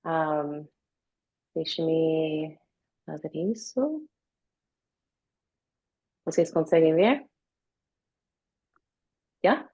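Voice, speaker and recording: Slow, hesitant woman. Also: deep voice, expressive, darkish tone, muffled recording. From United States. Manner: hesitant, with many fillers and pauses. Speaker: guest speaker. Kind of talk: live online talk. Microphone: video call.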